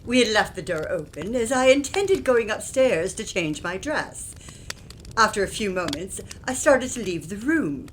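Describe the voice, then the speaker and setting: A woman's voice: mid-range voice, lively intonation, unhurried, natural voice, low-quality recording. A woman playing an anxious, genteel Victorian wife in an audio play, speaking formally and earnestly. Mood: earnest, distressed. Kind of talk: audio theatre acting. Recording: faint background noise.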